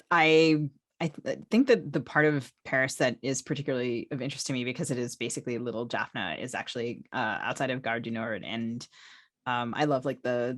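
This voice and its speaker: A woman's voice: very deep voice, a bit bright, dry recording, studio quality. A woman co-hosting a podcast in a conversational way, with a wry, teasing manner. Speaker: podcast co-host.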